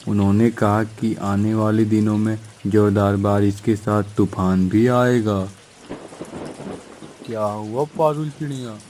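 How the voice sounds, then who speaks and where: Man voicing a mellow, self-serving cartoon crow. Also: fairly low pitch, slight background noise, natural voice. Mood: concerned. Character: male crow neighbour, brotherly but self-serving. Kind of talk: dramatized Hindi children's cartoon story.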